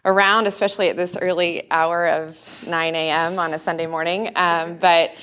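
A woman speaking to a church congregation on Mother's Day, a little nervous, laughing now and then, holding a handheld mic away from her mouth because of her loud voice. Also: fairly low pitch, flat delivery, normal speed, clean tone. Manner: warm, nervous, laughs often, says she has a loud voice. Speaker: pastor's wife giving a Mother's Day message. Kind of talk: church talk with a read-aloud story. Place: church sanctuary in front of a congregation. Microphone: handheld mic held away from the mouth.

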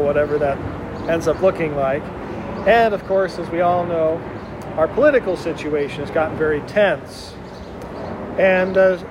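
Chatty monk giving a spiritual talk. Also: male voice, on the high side, background noise. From Wisconsin. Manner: conversational, with frequent ums and uhs. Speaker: Benedictine monk giving a conference to oblates.

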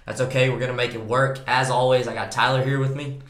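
Brief: male podcast host, raspy cold-worn voice, talkative and joking, echoey room. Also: medium pitch, level tone, quick pace, a bit bright, slight background noise. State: hoarse from a cold. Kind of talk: casual podcast chat.

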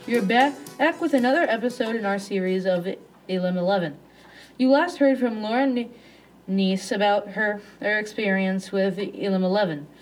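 A woman's voice: medium pitch, moderate pace, faint music behind, low-quality recording. A young woman hosting a podcast, reading interview questions with small stumbles.

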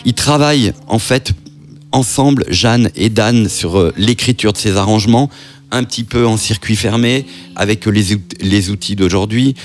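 A man talking in an interview, pausing often with fillers and restarting his sentences as he speaks. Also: lowish voice. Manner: hesitant, many fillers and restarts. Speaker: festival programmer. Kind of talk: interview soundbite.

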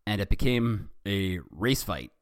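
A man's voice: fairly low pitch, animated, unhurried, dry recording, studio quality. A man imitating a chirpy fan-fiction author.

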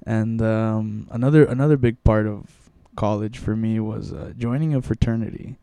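A man's voice: on the low side, fairly even intonation, moderate pace, creaky, warm tone, clean tone, no echo. A young man from Mexico, telling his story in a casual interview, rambling with many restarts and fillers. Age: young adult.

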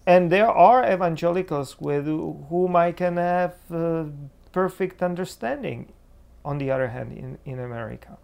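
A man's voice: a little high, normal speed, creaky, few pauses, darkish tone, smooth. A man with a Czech accent speaking as a preacher in a reflective theological discussion, searching for words as he goes. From Czech Republic. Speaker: preacher, interview guest.

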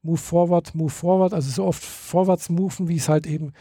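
A man's voice: a little high, fairly even intonation, fairly quick, warm tone, breathy edge, no echo, studio quality. A man, chatting casually and hesitantly, with many fillers and restarts. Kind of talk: casual chat.

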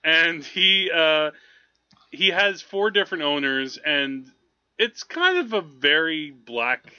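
A man co-hosting a movie podcast, talking casually in a halting, digressive, amused way. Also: a little high, very animated, bright, forceful tone, clean tone, dry recording. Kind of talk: casual film review chat. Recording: slightly dull, lo-fi podcast audio.

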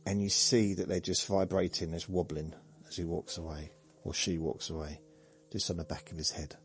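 Very deep-voiced man, slow rambling deadpan. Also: soft background music. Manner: slow, meandering, deadpan humor. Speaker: podcast host. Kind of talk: rambling sleepy monologue.

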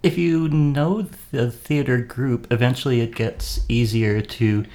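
A man and actor in casual chat, calmly explaining the actor's craft. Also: medium pitch, unhurried. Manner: thoughtful, explaining with metaphors. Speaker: actor and podcast guest host.

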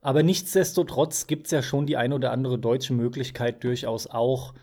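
A man's voice: medium pitch, steady tone, moderate pace, a little mellow. A man co-hosting a podcast, giving strong, emphatic opinions in a casual, colloquial way. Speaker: podcast co-host.

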